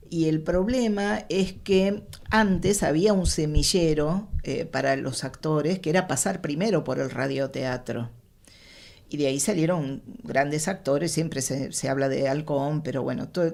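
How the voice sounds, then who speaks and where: Gravelly, low-voiced Argentine woman in interview. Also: darkish tone. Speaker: radio drama professional being interviewed. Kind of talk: conversational interview answers.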